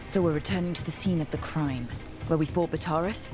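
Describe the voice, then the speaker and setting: An actress voices a young woman adventurer in a fantasy game. She is loyal and earnest, speaking supportively in a slightly low voice. Music runs behind her. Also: brisk, close to an everyday voice. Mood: curious, ready. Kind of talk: video game dialogue acting.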